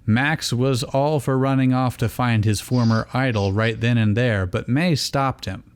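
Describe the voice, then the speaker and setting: A man's voice: medium pitch, measured pace, clear, resonant tone. A man reading fan fiction aloud as theatrical story narration. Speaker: reader of fan fiction, host.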